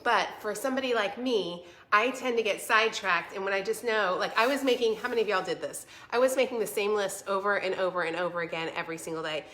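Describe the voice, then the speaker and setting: A woman's voice: medium pitch, fast, few pauses, a bit bright. A woman in her forties with a congested voice, chatting casually and encouragingly to viewers as a livestream host. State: stuffy, congested voice from a cold. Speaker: vlog host selling a home planner. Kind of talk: casual livestream chat, answering viewer comments.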